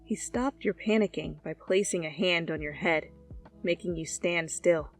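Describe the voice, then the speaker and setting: A woman's voice: lowish voice, normal speed. Woman narrating a story over music. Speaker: narrator, fan fiction reader. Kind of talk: expressive second-person story narration. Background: background music.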